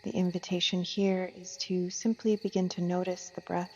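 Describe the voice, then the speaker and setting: A woman leading a guided meditation as a yoga teacher, gentle and soothing, with soft phrasing and long unhurried pauses. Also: fairly low pitch, little pitch movement. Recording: muffled, long reverb, music and insect sounds.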